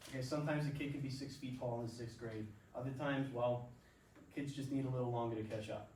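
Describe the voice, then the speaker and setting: A man playing a warm, encouraging father who gives folksy, coaxing pep talks in a staged play reading. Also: medium pitch, steady tone, fast, lightly acted. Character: warm, encouraging Bronx father, former minor-league ballplayer. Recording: echoey room, far mic, faint noise.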